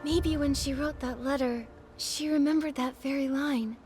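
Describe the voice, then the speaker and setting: Woman playing an earnest high-voiced young game heroine. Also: fairly even intonation, normal speed, lightly acted. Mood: sympathetic. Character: earnest, kind young heroine girl, curious and determined. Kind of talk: video game story dialogue. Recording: background music under the voice.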